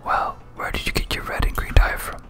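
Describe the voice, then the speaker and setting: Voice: a little fast, pushed and bright, faint music behind, close to an everyday voice. An ASMR gamer voicing a dim, stubborn, squabbling goblin general in a whisper, with broken grammar. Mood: matter-of-fact. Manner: broken caveman-like grammar, bickering.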